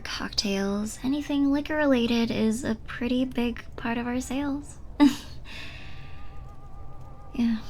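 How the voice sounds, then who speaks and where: A woman playing a flirty, teasing bartender in a soft, breathy ASMR roleplay, speaking close to the listener and often dropping to a near-whisper. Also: mid-range voice, slight background noise, natural voice. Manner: playful, giggly, unhurried flirting.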